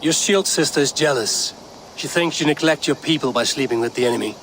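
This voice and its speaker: An actor playing a commanding, stern Viking raider in a video game, with a measured, authoritative manner. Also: male voice, fairly high pitch, fairly bright tone, a little hiss or hum, rough recording. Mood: firm.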